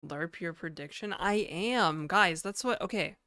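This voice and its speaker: A hoarse woman streamer thinking aloud casually as she codes live, swearing and full of fillers. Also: lowish voice, a little slow, sharp tone, no echo, studio quality. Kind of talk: live coding stream commentary. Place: home apartment.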